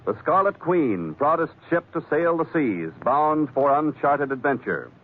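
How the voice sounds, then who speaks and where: High-pitched vintage male radio announcer. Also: sing-song, measured pace. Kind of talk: dramatic scripted 1940s radio announcing. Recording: tinny 1940s radio broadcast with music.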